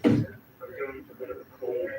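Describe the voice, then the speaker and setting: A man with a cold and sniffles, talking to himself in a meandering way while working in a garage. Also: normal speed. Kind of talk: casual talk while working. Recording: distant, roomy, noisy clip replayed.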